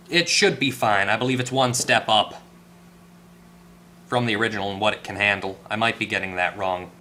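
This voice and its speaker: Man, bright voice, medium pitch, unscripted how-to explanation, self-correcting, faint background noise. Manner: tentative, self-correcting, thinking aloud. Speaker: host of an electronics repair tutorial. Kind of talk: casual hands-on explanation, unscripted.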